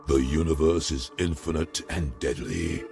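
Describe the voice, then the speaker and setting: Cavernous, gravelly, grim inquisitor. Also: male voice, animated, a little slow, over music, full character voice. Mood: grim resolve. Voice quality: very deep, gravelly. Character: grim, zealous male inquisitor, menacing and solemn. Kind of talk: dramatic scripted game monologue.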